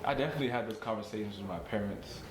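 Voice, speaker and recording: Fast-talking, low-voiced young man. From South Sudanese family, lived in America. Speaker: podcast guest. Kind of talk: casual personal storytelling. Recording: roomy echo, clear background noise.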